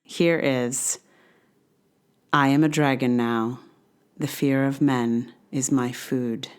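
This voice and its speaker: Low-voiced woman hosting a casual podcast. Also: steady tone, takes it slowly, smooth. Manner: rambling, hesitant, self-correcting. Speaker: podcast host. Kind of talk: casual solo podcast talk.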